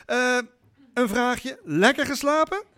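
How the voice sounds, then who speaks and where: An actor playing a chatty, eager-to-please bed-and-breakfast host in a naturalistic radio drama, effusive and easily flustered. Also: male voice, very high-pitched, lively intonation, slow pace, bright, forceful tone, clean tone, full character voice. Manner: effusive, flustered, trailing off mid-sentence.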